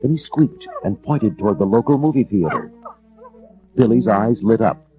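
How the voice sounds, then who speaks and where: A man reads a dramatic children's story in a very deep voice. His delivery is theatrical and measured but swings widely in pitch. Music plays behind him. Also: very animated, highs cut off. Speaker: narrator.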